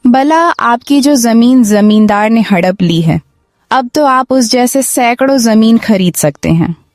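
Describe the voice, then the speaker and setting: A woman's voice: a little high, lively intonation, unhurried, darkish tone, no room sound, studio quality, faint music behind. A woman playing a supportive, admiring village woman in a cartoon moral story. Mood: delighted, admiring.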